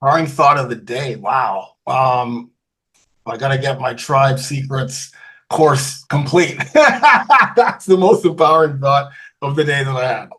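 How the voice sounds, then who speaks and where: A man in his fifties with a husky voice, under the weather, talking in a friendly, easygoing way as an interview guest. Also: medium pitch, animated, unhurried, a bit bright. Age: 50s. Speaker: guest, fitness coach. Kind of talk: casual interview.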